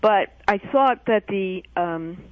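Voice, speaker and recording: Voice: mid-range voice, expressive, takes it slowly, no echo. A radio interview host asking questions hesitantly, with many fillers and restarts. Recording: tinny, dry phone-like radio line.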